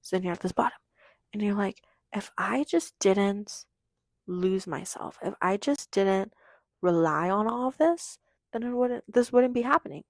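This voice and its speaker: Hoarse, gravelly teenage girl podcaster. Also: mid-range voice, moderate pace, a little mellow, no echo. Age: teenager in high school. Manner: rambling, chatty, heavy on "like". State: hoarse, losing her voice. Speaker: podcast host. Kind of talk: casual confessional monologue.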